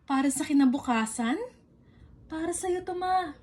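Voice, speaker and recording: A young woman with a Filipino accent gives playful scripted narration for a comedic radio drama, chatty, teasing and expressive. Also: high-pitched, a little slow.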